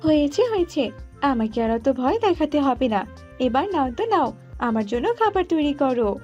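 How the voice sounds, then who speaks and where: Lady doing a naughty lil girl bird, cartoon voice, really high, sweet-talking and cheeky. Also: lively intonation, fairly quick, mellow, dull audio, faint music behind. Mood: playful, demanding. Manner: wheedling, playful. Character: cheeky, coaxing young female bird, mischievous daughter.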